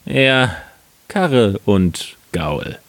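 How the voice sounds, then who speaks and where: A man's voice: on the low side, big pitch swings, dry recording, heavily acted. A man voicing a hapless, stubborn wagon driver who laments in a fairy tale. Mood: defiant, scornful. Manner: loud, drawn-out lamenting. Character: hapless, wailing male wagon driver, hot-tempered and stubborn.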